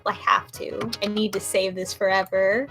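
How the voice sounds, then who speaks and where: A woman's voice: mid-range voice, little pitch movement, normal speed, a bit bright, faint music behind. A woman joining in a casual, joking group chat.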